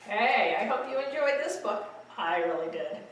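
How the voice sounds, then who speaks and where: Gentle woman reading a bedtime story. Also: fairly high pitch, expressive, normal speed, a little hiss or hum. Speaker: children's librarian reading stories. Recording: echoey room.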